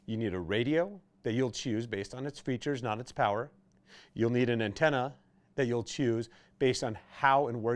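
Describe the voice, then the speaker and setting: A man with an American accent giving an informal, conversational product demonstration as a shop's expert presenter. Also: medium pitch. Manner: conversational, explanatory, checking in with "right?".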